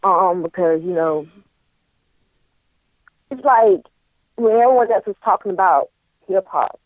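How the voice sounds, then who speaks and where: A man in his thirties, a guest in a casual interview, talking in an easygoing, thoughtful way with many pauses and fillers. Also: high voice, dry recording. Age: 30s. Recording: tinny phone line.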